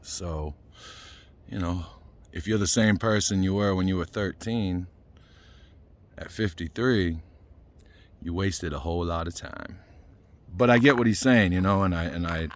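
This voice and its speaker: A man's voice: deep voice, unhurried, long pauses. A man in his fifties from Boston with a Boston accent, rambling casually in a vlog and leaning on "you know" fillers, a little winded after a run. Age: 50s. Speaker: vlogger. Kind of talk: casual talking-head vlog.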